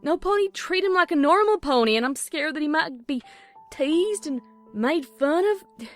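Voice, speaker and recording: A woman's voice: high-pitched, animated, moderate pace, faint music behind. A woman with an American Southern accent voicing a proud, protective young farm mare in a cartoon pony audio drama. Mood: worried.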